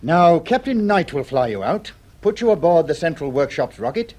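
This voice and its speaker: A courteous chief of a space project in 1950s radio drama. He speaks with formal authority in a highish, animated voice. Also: moderate pace. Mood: businesslike.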